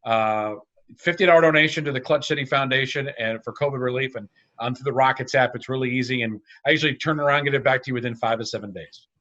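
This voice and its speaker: A man's voice: mid-range voice, quick pace, fairly bright tone, lo-fi sound. A man speaking as a guest announcer in a casual sports discussion, talkative and emphatic. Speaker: radio and arena announcer guest.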